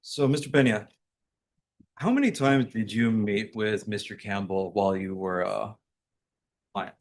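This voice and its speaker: A man's voice: fairly low pitch, unhurried. A man working as a prosecutor, cross-examining a witness in court in an informal, probing, plain-worded way.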